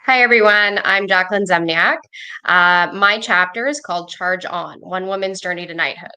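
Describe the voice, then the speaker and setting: Bright, energetic woman author guest. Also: lowish voice, normal speed, smooth, poor audio. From Milton, Ontario, Canada. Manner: energetic, confident storytelling. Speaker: author and jouster.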